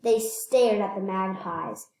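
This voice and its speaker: A woman reading a children's picture book aloud, slightly halting, repeating phrases and adding casual asides. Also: medium pitch, darkish tone. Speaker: read-aloud storyteller. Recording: echoey room.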